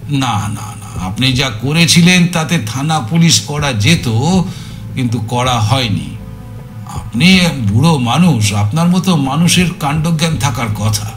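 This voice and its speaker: A man's voice: on the high side, lively intonation, slow, soft background music. A man playing a talkative amateur sleuth in a dramatised audio story, emphatic and theatrical, repeating his words as he teases and coaxes. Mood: scolding, probing.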